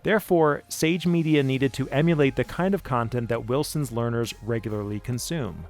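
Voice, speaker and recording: A man delivers scripted explainer narration as the narrator for a video production. His voice sits at a middling pitch, with music playing softly underneath. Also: normal speed. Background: background music.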